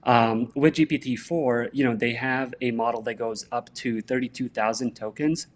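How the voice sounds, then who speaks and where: A man's voice: medium pitch, steady tone, normal speed. A man from Bosnia speaks as an interview guest in a mid-range voice. He explains technical ideas steadily and conversationally, in a friendly tone. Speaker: guest, tech director.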